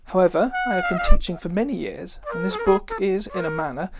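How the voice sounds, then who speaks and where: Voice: medium pitch, expressive, brisk, loud music behind the voice. A person with a female-sounding voice reads an old, formal book introduction aloud, occasionally stumbling over words. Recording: cornet playing over the voice. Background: live brass cornet playing.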